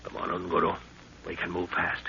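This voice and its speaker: A seasoned middle-aged expedition leader in a vintage radio adventure. His voice is low and gravelly with a firm, forceful edge, and he gives measured orders with fatherly calm. The old 1930s recording is dull and a little noisy. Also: exaggerated voice. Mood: hushed command. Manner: measured, instructive, authoritative. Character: seasoned commanding jungle adventurer, fatherly, calm under danger. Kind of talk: 1930s radio drama acting.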